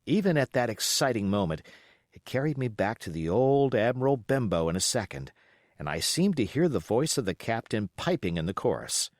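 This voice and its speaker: A man reading a classic adventure novel aloud as an audiobook narrator, in an expressive storytelling manner. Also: lowish voice, normal speed, no room sound, clean studio sound. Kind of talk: scripted literary narration.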